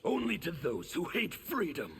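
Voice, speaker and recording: An actor playing an angry, bitter revolutionary warrior in a video game, gravelly and declamatory. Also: male voice, fairly high pitch, huge pitch swings, normal speed, full character voice. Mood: bitter, defiant. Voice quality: gravelly, intense. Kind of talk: video game character dialogue.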